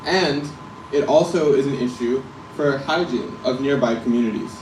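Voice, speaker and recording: A young man from the Netherlands in his late teens gives a rehearsed business pitch, posing questions and then answering them. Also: moderate pace, darkish tone, slight background noise. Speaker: student presenter. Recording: echoey room from a distance.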